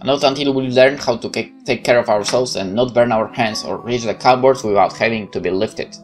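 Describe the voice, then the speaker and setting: A let's player who reads game text aloud and thinks out loud in hesitant, casual asides. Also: mid-range voice, a little fast. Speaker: gaming video host. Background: game music.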